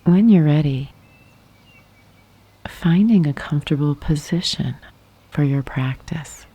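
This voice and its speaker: A woman guiding a yoga nidra meditation in a soft, breathy half-whisper, gently and calmly, with long pauses. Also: deep voice. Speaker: meditation guide. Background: birdsong and nature sounds.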